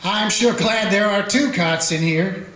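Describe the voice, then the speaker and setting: A man's voice: high-pitched, a little slow, fairly bright tone. A man voicing a weary small-town sheriff who narrates and questions a prisoner with folksy, dry humor. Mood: musing. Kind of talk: radio play narration and acting. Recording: echoey room with faint music.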